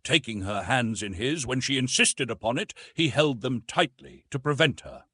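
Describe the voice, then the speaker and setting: A man reading an adventure novel as an audiobook narrator, in a measured, literary and expressive manner. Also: medium pitch, husky, dry recording, clean studio sound.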